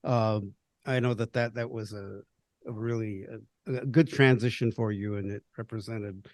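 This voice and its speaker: A man's voice: lowish voice, fairly even intonation, moderate pace, no room sound. A man hosting an interview, asking questions and encouraging his guest in casual chat. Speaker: podcast host.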